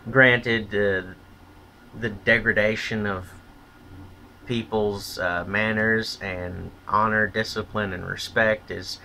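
A man's voice: fairly low pitch, steady tone, slow, creaky, sharp tone, a little hiss or hum, poor audio. A man delivering a blunt, profane, contemptuous opinion rant as a solo vlogger.

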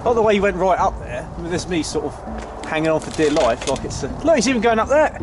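A man in his thirties talks his way around an old site outdoors, hesitant and chatty, full of fillers. His voice sits a bit high for a man, picked up on a handheld action camera with loud music playing over it. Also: big pitch swings, fast talker. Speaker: urban explorer vlogger. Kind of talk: casual walkaround commentary. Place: outdoors in overgrown grounds of an old tower. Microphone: action camera held at arm's length.

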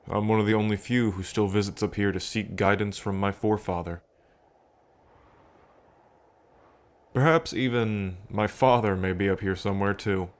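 A man playing a fond, reflective dragon king in an intimate ASMR roleplay, musing aloud to the listener with pauses. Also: lowish voice, close to an everyday voice. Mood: wistful. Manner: unhurried, musing, pausing, softly chuckling between thoughts. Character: adult male dragon king, fond, reflective, proud of ancestors.

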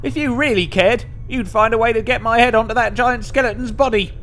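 Man as indignant game sidekick, a talking skull: high, exclamatory, sarcastic. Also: a little fast, fairly bright tone, slight background noise. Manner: exclamatory, needling, exasperated.

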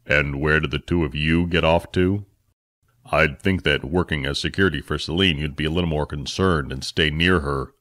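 A man voicing Phil, a stern scaled male creature who talks low, slow and scolding. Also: steady tone, a bit bright.

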